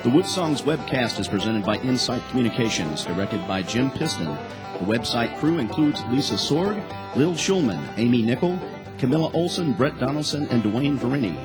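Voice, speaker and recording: A man's voice: mid-range voice, sing-song, normal speed. A man reading scripted closing credits as a radio announcer. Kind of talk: scripted broadcast credits read. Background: acoustic guitar music.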